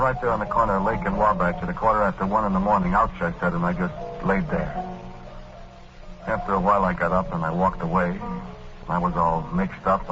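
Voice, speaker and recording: An actor playing an aggrieved, befuddled murdered man who tells his story matter-of-factly and colloquially in a 1940s radio drama. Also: deep voice. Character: aggrieved, plain-spoken murdered man, now a befuddled ghost. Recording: slightly muffled old radio broadcast.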